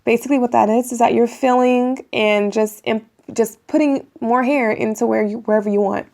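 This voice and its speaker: Young woman, hoarse from a cold, gravelly and slightly high, chatty how-to talk, close camera mic at home. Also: steady tone, normal speed, a little mellow. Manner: chatty, addresses viewers as you guys, many ums. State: raspy voice getting over a cold. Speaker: hair tutorial vlogger. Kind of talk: casual how-to tutorial. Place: bedroom at home, indoors.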